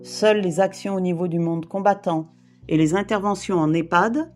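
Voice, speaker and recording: Woman, 50s, from the Paris region, formal measured reading, faint guitar music. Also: lowish voice. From France, Île-de-France. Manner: measured, formal, list-like delivery. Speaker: brief therapist and volunteer rescuer. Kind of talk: read personal testimony.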